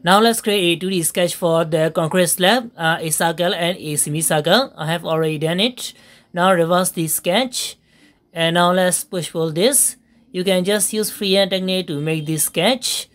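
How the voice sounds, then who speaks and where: Lowish-voiced woman, walking through software steps slowly with lots of uhs. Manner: step-by-step instructions with frequent fillers and pauses. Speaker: software tutorial narrator. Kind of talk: screen-recorded software tutorial voice-over.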